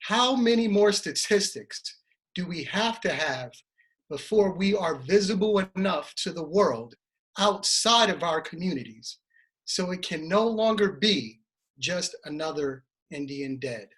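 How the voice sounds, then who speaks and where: A man's voice: high-pitched, animated, a little slow, fairly bright tone. A man reading a memorial piece as an actor, in a solemn, rhetorical, dramatic style.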